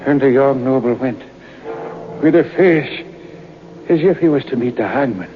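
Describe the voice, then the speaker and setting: An actor playing an old man who tells a grim ghostly legend slowly and suspensefully in a radio horror drama, on an old radio recording. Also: mid-range voice, expressive, phone-quality sound, faint music behind, natural voice. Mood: grave, suspenseful. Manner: slow, suspenseful storytelling.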